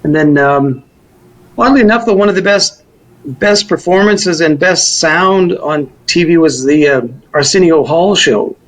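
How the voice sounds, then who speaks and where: A man's voice: on the high side, unhurried, rough recording. A middle-aged or older man, reminiscing casually as an interviewee in a rambling, informal way. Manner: rambling, informal, hedging with "kind of" and "you know". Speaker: musician interviewee.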